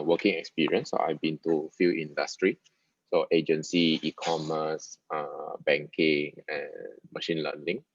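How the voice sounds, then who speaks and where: A man's voice: extremely low pitch, dry recording. A man with a Malaysian accent casually introducing himself to colleagues in plain, simple talk. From Ipoh, Malaysia. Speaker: senior UI/UX designer. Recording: dull, muffled lo-fi audio.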